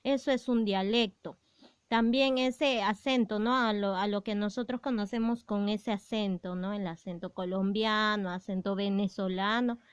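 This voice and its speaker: A woman's voice: medium pitch, steady tone, normal speed, clean tone, dry recording, studio quality. A woman teacher explaining a language lesson to secondary students, patient and explanatory, with frequent fillers and questions to the class.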